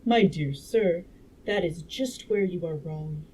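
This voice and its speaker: A woman reading aloud as a confident Victorian gentleman inventor who lectures in a didactic, assured way. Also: fairly low pitch, slow. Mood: insistent, lecturing. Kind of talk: audiobook-style character reading. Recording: noisy room background.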